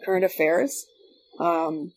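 A middle-aged woman with a fairly low voice. She talks informally as a guest, rambling a little and circling back to repeat phrases. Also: fairly even intonation, slow pace, slight background noise, poor audio. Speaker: podcast guest, former radio worker. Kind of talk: casual chat.

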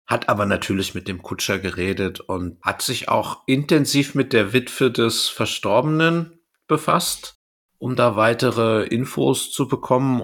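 A man with a fairly low voice talks as a podcast co-host. He retells and comments on a story in a casual, conversational way. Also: fairly bright tone. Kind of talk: casual discussion of an audio drama, retelling and commenting.

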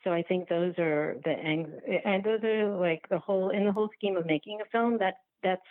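A woman speaking casually as an interview guest, halting and restarting her sentences, over a thin phone-like line. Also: mid-range voice, dry recording. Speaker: interview guest, documentary filmmaker.

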